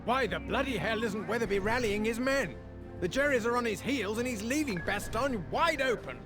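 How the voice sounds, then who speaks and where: A man's voice: very high voice, expressive, normal speed, over music. A man playing a commanding British Second World War officer in a video game, urgent and exacting, with clipped authority. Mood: exasperated. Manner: authoritative, clipped military phrasing. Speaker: military adviser. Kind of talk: scripted game dialogue, tactical briefing.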